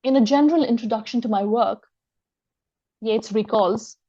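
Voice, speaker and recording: A woman giving a scholarly lecture, reading from her text in a measured way and quoting sources aloud. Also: on the high side, warm tone, dull audio. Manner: measured, reads quotations aloud. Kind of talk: reading an academic talk.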